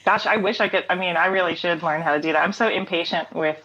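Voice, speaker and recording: A woman's voice: lowish voice, fast talker, fairly bright tone, muffled recording. A woman in her thirties, a singer-songwriter talking casually as an interview guest, rambling with fillers and laughs.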